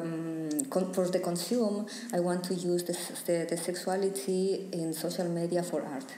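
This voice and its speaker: A woman's voice: lowish voice, dark tone, echoey room, poor audio. A young woman from Ecuador, an artist talking in a casual interview and often stopping to search for words. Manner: halting, searching for words, many restarts. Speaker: artist guest.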